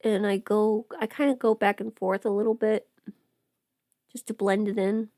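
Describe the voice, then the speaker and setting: A woman with a medium-pitched voice chats casually as a livestream host. She rambles and trails off, half talking to herself while her hands are busy. The sound is dry, with hardly any room to it. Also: little pitch movement, moderate pace, dark tone, dry recording. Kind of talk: casual chat while doing makeup.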